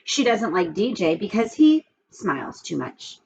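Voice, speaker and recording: A woman's voice: medium pitch, sing-song, measured pace, gravelly, darkish tone, highs cut off, a little hiss or hum. A woman reading a children's storybook aloud, expressively and with asides, speaking straight to young listeners.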